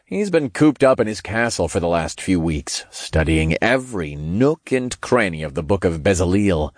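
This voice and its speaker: A man's voice: sits low, big pitch swings, moderate pace, dry recording, studio quality. A man voicing an easygoing, loyal young king in an audiobook, speaking casually and frankly. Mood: earnest.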